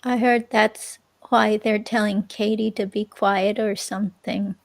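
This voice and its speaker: A woman's voice: medium pitch, fairly even intonation, unhurried, close to an everyday voice. A woman playing a hesitant, curious ex-girlfriend questioned by police, speaking casually in a transcript reading. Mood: curious, cautious. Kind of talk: dramatic reading of an interview transcript.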